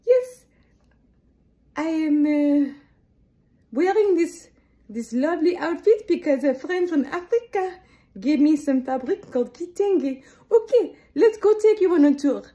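A woman playing a clumsy, silly French lung doctor for a children's skit, halting, playful and apologetic, with a French accent. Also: sits high, animated, mellow. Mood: excited, playful. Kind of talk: improvised children's show skit.

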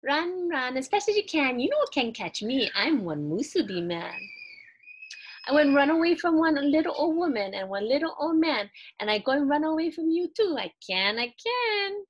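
A woman's voice: sits high, big pitch swings, moderate pace, muffled recording, voice pushed up. A woman voicing a boastful little rice-ball runaway in a children's storybook, taunting in sing-song. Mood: gleeful taunting. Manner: sing-song taunting in Hawaiian Pidgin phrasing.

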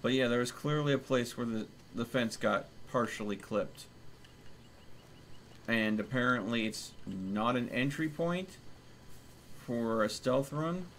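A man chatting casually as he streams a game, exclamatory and quick to swear. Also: medium pitch, fairly bright tone, a little hiss or hum. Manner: profane, exclamatory. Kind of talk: casual gaming chat with friends. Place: indoor room, streaming setup.

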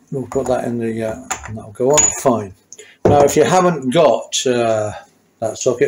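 A man's voice: medium pitch, a little mellow, slight background noise. A mature man with a mid-range voice talks through a hands-on repair job. He is chatty and self-deprecating, dropping wry asides as he works at his bench. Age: middle-aged or older. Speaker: motorcycle mechanic and host. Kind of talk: casual hands-on workshop tutorial. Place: small indoor workshop at a workbench.

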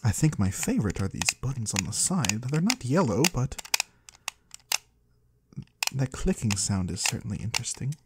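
A man playing a mysterious, courteous disembodied trader of the void in a soft-spoken ASMR roleplay, husky and breathy, slow and gently curious. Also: medium pitch, big pitch swings, heavy background noise, close to an everyday voice. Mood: curious, pleased. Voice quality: husky, breathy, sometimes whispering. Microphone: close, dry microphone.

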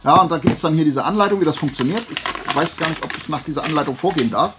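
A man's voice: fairly high pitch, fast, very noisy. A man hosting a casual YouTube haul vlog, talking informally in a rambling way as he thinks aloud. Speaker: YouTube host.

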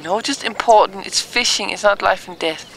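A woman's voice: mid-range voice, normal speed. A woman filming off camera, playfully teasing the host in casual banter. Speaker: camera operator. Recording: distant mic with outdoor noise.

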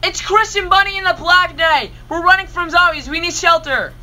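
A woman's voice: very high-pitched, moderate pace, bright, forceful tone, heavily acted. A woman playing an anxious, urgent young hero in an amateur Minecraft roleplay.

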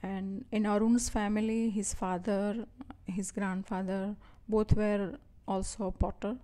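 Slow, mellow woman narrating. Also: medium pitch, level tone. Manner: slow and deliberate. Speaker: presenter introducing a potter. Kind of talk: voice-over introduction.

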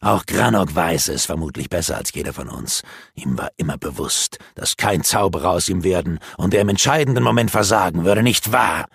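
A man voicing a scornful, treacherous male wizard who taunts his foe in slow, drawn-out phrases, with a gravelly, husky voice. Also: sits low, fairly bright tone, dry recording, clean studio sound, pitched below the performer's own voice, full character voice. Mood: mocking.

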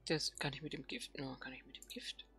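A woman's voice: very deep voice, level tone, fairly quick, a bit bright, soft background music. A woman hosting a let's play, thinking aloud casually and murmuring questions to herself as she plays.

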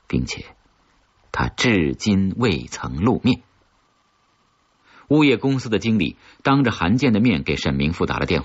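Very deep-voiced man narrating suspense. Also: breathy edge, lo-fi sound. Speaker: audiobook narrator. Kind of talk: scripted narration of a horror-suspense novel.

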